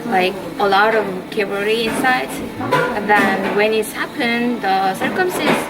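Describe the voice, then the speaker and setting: A woman's voice: mid-range voice, moderate pace, poor audio. A Korean-native woman hosting a casual interview in English, searching for words and giving short backchannels. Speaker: interviewer host. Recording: loud music behind.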